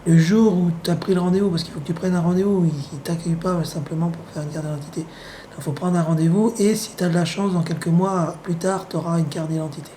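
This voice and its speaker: A hoarse man with the flu, speaking at home in a rambling, sardonic monologue full of hesitations. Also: on the high side, steady tone, quick pace, mellow, a little hiss or hum. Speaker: vlogger.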